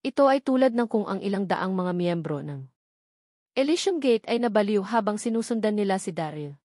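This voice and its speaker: A woman's voice: medium pitch, lively intonation, moderate pace, darkish tone, dry recording. Woman narrating a novel, very dry sound. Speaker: audiobook narrator.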